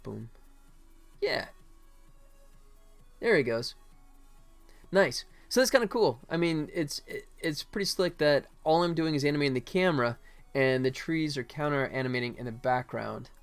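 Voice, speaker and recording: A man's voice: a little high, animated, normal speed, gravelly, long pauses, clear, resonant tone, faint music behind. A man livestreaming as he works, thinking aloud in casual chat, sometimes muttering to himself. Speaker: livestream host, game animator.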